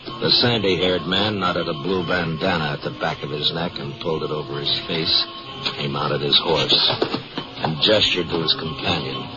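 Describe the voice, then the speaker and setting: Deep American western storyteller, muffled radio. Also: male voice, fairly even intonation. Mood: grave, suspenseful. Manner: measured, descriptive, dramatic. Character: grave storyteller narrating an Old West tale. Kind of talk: 1950s radio drama scripted narration. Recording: muffled 1950s radio recording, loud music.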